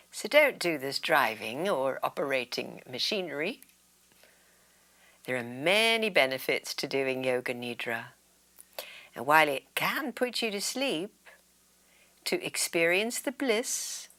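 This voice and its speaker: A woman with a low voice guides a meditation at an unhurried pace. Her intonation rises and falls widely, and her phrases often trail into a soothing, breathy whisper. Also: bright, forceful tone. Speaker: yoga nidra meditation guide.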